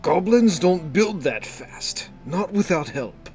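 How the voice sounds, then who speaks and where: A man's voice: high voice, animated, takes it slowly, a little mellow, soft background music, pitched above the performer's own voice. A man voicing a stern, grim guildmaster in a fantasy story, firm and plain-spoken. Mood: grim, doubtful.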